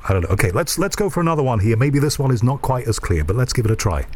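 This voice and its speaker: A man's voice: mid-range voice, big pitch swings, rapid-fire, a little mellow. Enthusiastic male radio host, curious. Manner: inquisitive, enthusiastic, thinks aloud. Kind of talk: curious interview chat.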